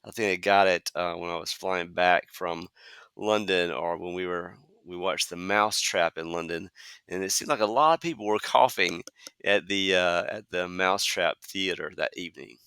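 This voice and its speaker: Slightly hoarse, bright-voiced podcast host man. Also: low-pitched, moderate pace, no echo, clean studio sound. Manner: conversational, frequent "uh" fillers. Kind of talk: solo podcast monologue.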